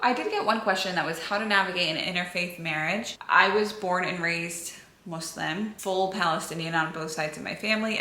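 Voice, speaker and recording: A woman's voice: on the low side, bright, forceful tone, slight background noise. A young American woman chatting casually to camera, answering viewer questions in an open, filler-heavy way. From United States. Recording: echoey room.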